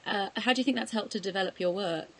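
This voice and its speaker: A woman's voice: mid-range voice, fast, fairly bright tone, dry recording. A woman interviewing a guest, asking short, direct questions.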